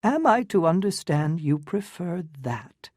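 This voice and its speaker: A narrator voicing a theatrical older woman who gushes and schemes, in a high, airy, affected delivery. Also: big pitch swings, measured pace, darkish tone, dry recording, studio quality. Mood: coaxing.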